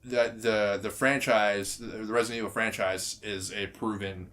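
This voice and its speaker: A man's voice: on the low side, steady tone, measured pace, bright, forceful tone. A man co-hosting a podcast in casual chat, speaking emphatically and giving firm opinions. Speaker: podcast co-host. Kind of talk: casual chat about video game movies.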